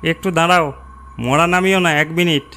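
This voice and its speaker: Man playing sharp, high-voiced village shop worker. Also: moderate pace. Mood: urgent. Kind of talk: horror cartoon story dialogue.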